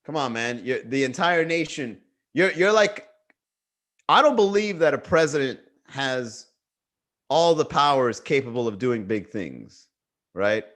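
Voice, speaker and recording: A man's voice: medium pitch, lively intonation, measured pace, fairly bright tone. A Miami-accented man riffs on a casual comedy livestream from home. His talk is loose and slangy, full of bro and man, and he banters with chat over a webcam mic. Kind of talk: casual livestream stand-up riff.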